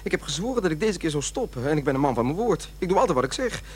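Middle-aged smoker, desperately earnest, swooping pitch. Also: male voice, very animated, racing, a little hiss or hum. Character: desperate, self-deluding male smoker trying to quit. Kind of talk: radio drama acting.

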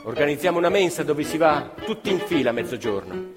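A man telling a story on stage in the first person, emphatic and lively. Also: a little high, fast talker. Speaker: stage actor narrator. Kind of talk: theatrical storytelling. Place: theatre stage. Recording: live theatre with long reverb. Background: live violin and piano.

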